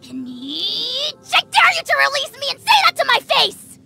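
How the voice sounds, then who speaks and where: A woman voicing a pompous, spiteful little dragon lord in a video game, with a shrill, creaky, cartoonish delivery and theatrical haughtiness. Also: huge pitch swings, moderate pace, loud music behind the voice, full character voice. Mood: furious, indignant. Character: tiny arrogant dragon lord, bombastic, spiteful, easily enraged. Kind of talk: video game character voice acting.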